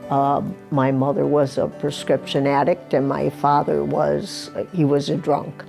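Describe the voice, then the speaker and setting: A woman's voice: low voice, flat delivery, a little slow, vocal fry, dark tone, faint music behind. A woman in her sixties in recovery, giving plain, candid personal testimony in an interview. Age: 60s.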